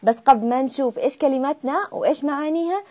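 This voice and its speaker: A woman's voice: sits high, racing, darkish tone. A woman presenting and introducing a street segment. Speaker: studio presenter. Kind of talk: scripted show introduction.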